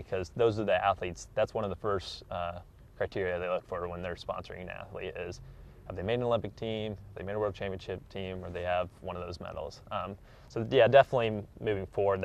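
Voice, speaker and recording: Young american guy, low voice, athlete just chatting in an interview, kinda modest and sincere. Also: creaky. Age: 20s. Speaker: track athlete being interviewed. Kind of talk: casual interview.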